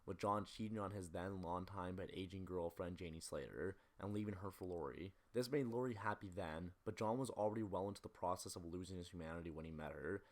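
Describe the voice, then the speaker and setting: A man narrates a scripted film analysis in a fairly low voice. He moves along at a rapid clip and sounds critical and opinionated, like a video essayist making a case.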